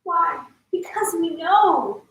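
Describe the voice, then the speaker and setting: A young actress in a staged reading playing an earnest, wounded, tender friend. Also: female voice, very high-pitched, lively intonation, takes it slowly, creaky, full character voice. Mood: insistent. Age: young adult. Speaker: actor. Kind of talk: staged play reading, naturalistic drama. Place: large echoey indoor room. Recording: echoey hall, distant mic, faint noise.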